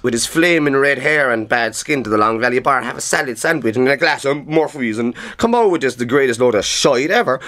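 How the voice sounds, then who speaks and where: A man playing a cocky, excitable Cork poet with an Irish accent, blunt and repetitive, being interviewed in a mock documentary. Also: medium pitch. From Cork, Ireland. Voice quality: animated, swooping delivery. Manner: colloquial, emphatic, repeating himself, blunt.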